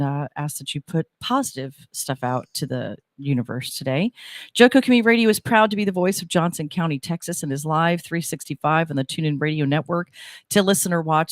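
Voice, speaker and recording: A woman's voice: lowish voice, dry recording, clean studio sound. A woman hosting a community radio show in a chatty, upbeat way, her nose stuffy. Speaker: radio host.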